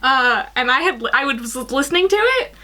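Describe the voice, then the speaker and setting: Woman in her twenties, high-pitched, informal story reading, forward bright tone, home room. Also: lively intonation, normal speed. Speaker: guest reader on a fan fiction livestream. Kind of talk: reading a fan story aloud amid casual chat. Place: small attic room at home.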